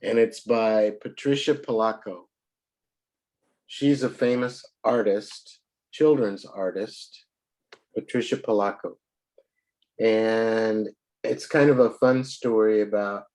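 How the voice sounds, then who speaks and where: A man tutoring a child in English, patient and a little hoarse from a cold, often clearing his throat. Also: fairly low pitch, darkish tone, rough recording. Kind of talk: online one-to-one lesson.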